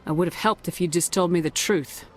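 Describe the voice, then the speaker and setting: An actress playing a direct, matter-of-fact military commander heroine in a video game. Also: female voice, lowish voice, fairly quick, a little hiss or hum, lightly acted. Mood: reproachful. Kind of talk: dramatic video game voice acting.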